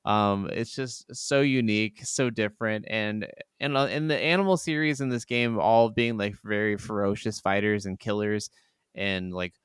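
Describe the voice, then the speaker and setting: Enthusiastic podcast guy with a clear voice. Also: lowish voice, normal speed, no echo, clean studio sound. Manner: enthusiastic, gushing; laughs easily. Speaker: podcast co-host. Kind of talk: casual chat about video games.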